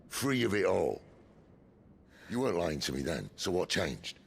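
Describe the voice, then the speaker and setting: A weary rebel in a dramatic video game, with an extremely deep, gravelly voice. He is dry and defiant, swinging expressively through his lines. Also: heavily acted. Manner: dry, sardonic. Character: weary, defiant rebel man, sardonic and world-wise.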